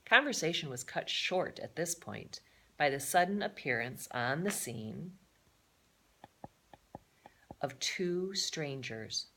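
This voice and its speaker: A woman reading a children's adventure novel aloud as a storyteller, expressive and given to explanatory asides to her listeners. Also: deep voice, slow, long pauses, slight background noise.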